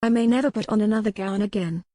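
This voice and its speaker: A woman's voice: medium pitch, fast, mellow, no echo, studio quality, natural voice. A woman voicing a defiant young lady captive in an audiobook romance, formal and pert with a challenging manner. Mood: stern, defiant. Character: defiant, sharp-witted young lady captive with indomitable will.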